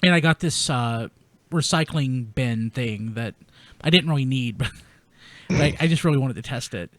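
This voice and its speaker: A man's voice: mid-range voice, normal speed, fairly bright tone, dry recording. Guy podcast host, chatty and into it, mid voice, really dry close sound. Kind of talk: casual chat.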